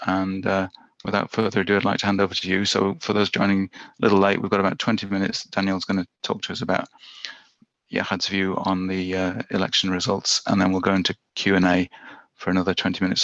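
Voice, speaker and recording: A man hosting a webinar, introducing a speaker in a halting way with many uhs and ums. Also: low voice, monotone, fairly bright tone, dry recording. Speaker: webinar host. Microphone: video call. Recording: dull, dry video-call audio.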